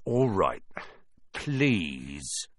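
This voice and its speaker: A man's voice: a little high, huge pitch swings, moderate pace, gravelly, pushed and bright, slightly rough, dry recording, pitched above the performer's own voice, exaggerated voice. A man voicing an irritable, impatient male school caretaker who grumbles and demands answers in a storybook. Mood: grudging.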